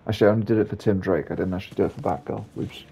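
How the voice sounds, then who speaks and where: Low, gravelly man commentating on a game. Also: racing, lo-fi sound. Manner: rambling, offhand. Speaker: gaming video creator. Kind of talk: casual game playthrough commentary.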